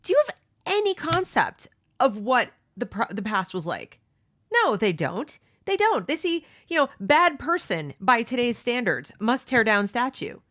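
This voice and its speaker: A woman's voice: sing-song, unhurried, fairly bright tone. Emphatic woman discussing a book. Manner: emphatic, opinionated, asks rhetorical questions; mocks others with high exaggerated voices. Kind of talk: read-along book commentary.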